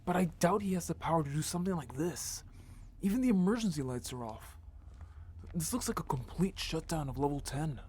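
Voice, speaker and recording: Guy doing reckless young rebel bro, slightly high voice, slangy n expressive, sci-fi audio drama w/ noisy ambience. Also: a little fast, close to an everyday voice. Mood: concerned. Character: fast, reckless young rebel brother, teasing, excitable. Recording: clear background noise, ambience.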